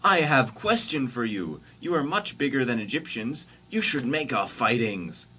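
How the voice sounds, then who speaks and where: A man's voice: mid-range voice, big pitch swings, normal speed, sharp tone, husky, voice pushed up, exaggerated voice. A man voicing an earnest, dim prophet in a comic story, declaiming in broken English.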